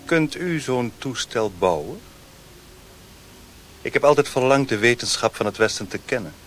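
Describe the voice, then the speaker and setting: A man playing a courteous, commanding Chinese lord in a radio play, speaking in a formal, measured, stately manner. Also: mid-range voice, animated, a bit bright, close to an everyday voice. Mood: curious. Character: courteous, commanding Chinese lord, curious about Western science. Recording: hissy old radio play recording.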